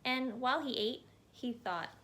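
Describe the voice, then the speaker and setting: A woman reading a children's picture book aloud as a storyteller, in an expressive narrating style. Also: on the high side, a little slow.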